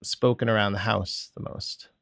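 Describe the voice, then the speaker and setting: A man with a fairly low voice interviewing a guest in an easygoing way. He asks his questions loosely and searches for his words as he goes. The sound is close and dry. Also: fairly even intonation, normal speed, creaky, a bit bright, dry recording.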